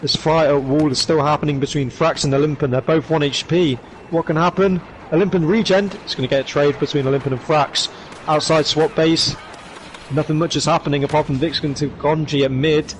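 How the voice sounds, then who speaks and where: A man commentating an esports match play by play, hoarse from shouting, with quick excited calls. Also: a little high, slight background noise. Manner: rapid excited calls with rising bursts. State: hoarse, losing his voice.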